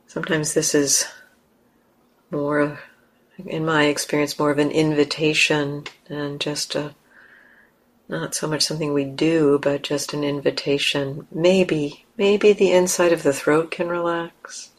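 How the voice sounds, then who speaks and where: A woman leading a guided meditation as a teacher, speaking gently in short, unhurried phrases with long pauses between them. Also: deep voice, flat delivery.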